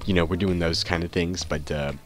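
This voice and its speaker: A man's voice: low voice, steady tone, brisk. A man with a nasal, congested voice from a cold, giving rambling, casual commentary while playing a game. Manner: rambling, full of ums and restarts. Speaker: gaming channel host.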